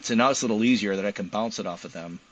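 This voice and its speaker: A man speaking as an interview guest in casual conversation, explaining details in an animated, colloquial way. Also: on the low side, rapid-fire. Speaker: guest, physician and novelist.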